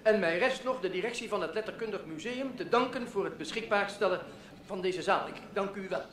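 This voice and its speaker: An actor playing a pompous literary newspaper writer giving a florid, formal speech in a radio play. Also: male voice, sits high, a crowd in the background, lightly acted. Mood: flustered. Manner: pompous, florid, measured oratory. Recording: echoey hall, public address.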